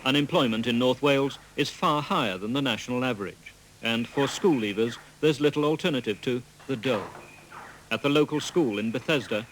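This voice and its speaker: Measured male documentary narrator. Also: medium pitch, noisy background. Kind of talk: scripted narration.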